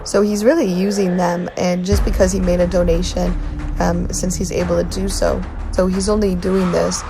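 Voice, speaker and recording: A woman giving a testimony to camera in a flat manner, hedging with fillers. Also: lowish voice, moderate pace, music in the background.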